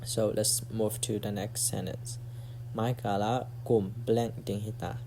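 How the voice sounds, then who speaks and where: Guy teaching phrases, kinda low voice, repeats stuff over and over for practice. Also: level tone, unhurried, gravelly, darkish tone, a little hiss or hum. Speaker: language teacher. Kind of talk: casual spoken language lesson.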